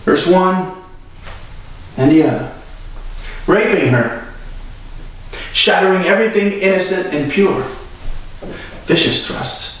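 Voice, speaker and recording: A man acting a dramatic monologue as a young, earnest defense lawyer pleading solemnly and deliberately to a jury. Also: sits high, lively intonation, fairly bright tone, heavily acted. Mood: grave, pained storytelling. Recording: very echoey room, far from mic.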